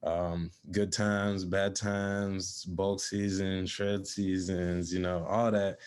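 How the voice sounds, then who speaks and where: Deep-voiced, laid-back young man. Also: little pitch movement, slow, clear, resonant tone. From born in Zimbabwe, raised in South Dakota from age four. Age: young adult. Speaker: podcast guest. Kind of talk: casual chat.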